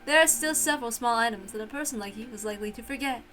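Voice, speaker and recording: A woman's voice: a little high, racing, bright, forceful tone. A woman voicing a haughty, teasing, prank-loving witch in a theatrical visual novel read-aloud, mocking and grandly condescending. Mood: playfully threatening. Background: music.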